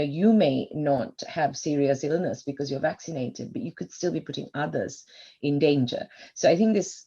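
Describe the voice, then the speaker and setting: Woman, 50s, from Sri Lanka, podcast guest, husky voice, thoughtful storytelling. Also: low voice, mellow, highs cut off. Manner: reflective, storytelling at length. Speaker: guest, medical doctor. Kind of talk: podcast interview.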